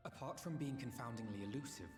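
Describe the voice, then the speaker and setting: A man voicing a male ally who explains battle plans in a formal, deliberate way. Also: mid-range voice, natural voice. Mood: earnest. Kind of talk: video game voice acting. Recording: reverberant game audio with clear music.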